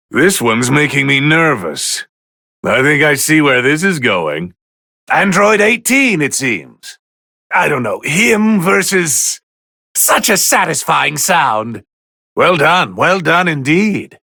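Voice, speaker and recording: A man's voice: fairly high pitch, bright, forceful tone, no room sound, studio quality, full character voice. A man voicing a haughty, easily bored god of destruction who comments on fights in a video game with condescending, refined, theatrical drawl. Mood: pleased, approving. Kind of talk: English video game voice lines, match commentary.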